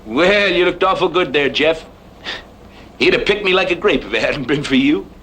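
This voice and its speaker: A man's voice: fairly high pitch, expressive, normal speed, fairly bright tone. A man playing a weary, determined cowboy guardian in an old-time radio western, with grave, folksy speech. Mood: concerned. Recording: faintly noisy old radio recording.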